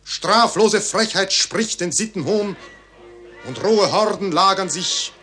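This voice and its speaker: An actor playing a solemn prologue speaker, reciting classical German verse in a stately, declamatory manner. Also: male voice, sits high, slow pace, sharp tone, soft background music, full character voice. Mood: solemn, grave.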